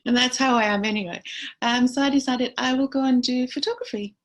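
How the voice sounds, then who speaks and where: A woman in her sixties, born and raised in Trinidad, chatting as a guest about her nursing career in a relaxed, storytelling way with easy laughter. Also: high-pitched, a little fast. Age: 60s. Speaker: aesthetic nurse, guest. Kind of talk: relaxed conversational interview.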